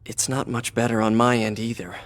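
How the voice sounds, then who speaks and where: A man's voice: medium pitch, flat delivery, brisk, lightly acted. A man playing a protective special-police teammate in a video game, dramatic and urgent in his delivery. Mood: grim, resolute. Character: protective, reassuring male special-police teammate under strain. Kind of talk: video game cutscene acting.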